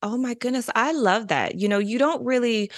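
A woman's voice: fairly high pitch, normal speed, no room sound, clean studio sound. A woman hosting a podcast, effusive and enthusiastic as she interviews her guest. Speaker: podcast host. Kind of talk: casual interview.

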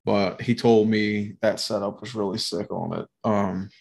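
A man with a nasal voice co-hosts a podcast in a loose, rambling way, swearing and laughing as he goes. His voice sits slightly low in pitch. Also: fairly even intonation, unhurried, creaky, darkish tone. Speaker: podcast co-host. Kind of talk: casual podcast chat.

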